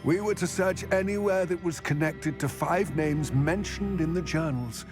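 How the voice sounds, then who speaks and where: A man playing a grave, weary goblin in a video game, speaking in a formal, measured, wordy way about serious matters. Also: on the high side, music in the background, close to an everyday voice. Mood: grave, explaining. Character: grave, weary male goblin, wary of wizards. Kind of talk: video game cutscene acting.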